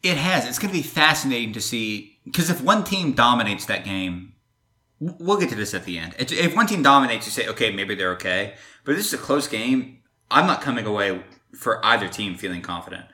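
A man's voice: mid-range voice, animated, a little fast, bright, forceful tone. A man hosting a sports podcast, chatting casually and teasing his co-host.

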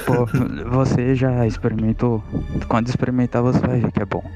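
A man playing a tabletop game who talks in character in a drawn-out, deadpan way. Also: mid-range voice, soft background music, low-quality recording. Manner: drawn-out, deadpan, odd questions. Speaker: player.

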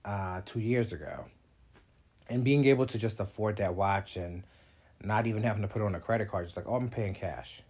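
A man's voice: fairly low pitch, darkish tone, slightly rough. A man in his thirties hosting his own podcast, talking in a chatty, reflective way and laughing between thoughts. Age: 30s. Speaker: podcast host. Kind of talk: casual solo podcast monologue.

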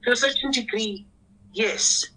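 A man with a squeaky voice, hosting a casual podcast panel in an earnest, reflective way and often repeating words as he starts. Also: a little slow, sharp tone, rough recording.

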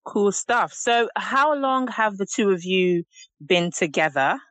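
A woman's voice: medium pitch, animated, slow pace, a bit bright, no echo. A woman hosting a podcast, asking questions in an upbeat casual way. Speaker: podcast host. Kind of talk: casual interview.

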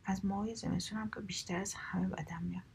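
Grumbling, rude young woman in a whispered bedtime story, read by a woman; gravelly whisper, faint hiss behind. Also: mid-range voice, fairly even intonation, lightly acted. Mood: sulky. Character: rude sulky young woman, always complaining.

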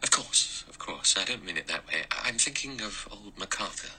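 A man plays an anxious doctor in an audiobook, low and bright-edged. His phrasing is educated and hesitant, full of doubt. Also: expressive, normal speed, a little hiss or hum, full character voice. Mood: worried. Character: worried, doubtful, well-spoken male doctor.

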